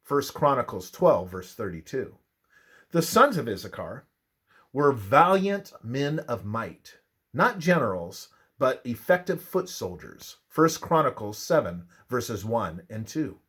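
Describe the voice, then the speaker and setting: A man narrates a scripted Bible devotional. His delivery is slow and measured, with a lively, varied intonation. He phrases each line deliberately, as a reader of religious study material. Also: mid-range voice, breathy edge.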